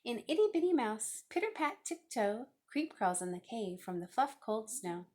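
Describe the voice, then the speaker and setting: A woman's voice: fairly high pitch, very animated, normal speed, a little mellow, slight background noise. A woman reading a children's storybook aloud with theatrical emphasis, drawing out key words. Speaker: storybook reader. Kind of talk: expressive children's read-aloud narration.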